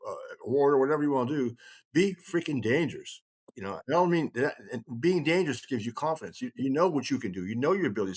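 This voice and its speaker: A man's voice: mid-range voice, slightly rough. An older man in casual podcast conversation, rambling but emphatic, with blunt, salty language. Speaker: kindred chieftain.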